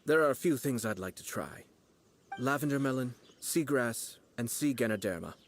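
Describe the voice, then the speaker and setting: An actor playing a calm, refined young nobleman in a video game, speaking in a measured, formal way. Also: mid-range voice, a little hiss or hum, lightly acted. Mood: composed.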